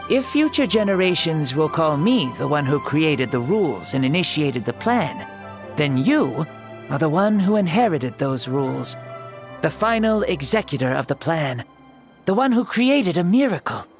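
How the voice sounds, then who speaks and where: Revived ancient hero, youthful body, animated intonation, breezy, wry, video game dialogue, light background music. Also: medium pitch, normal speed. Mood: reverent. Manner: casual, wry, storytelling. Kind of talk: dramatic video game voice acting.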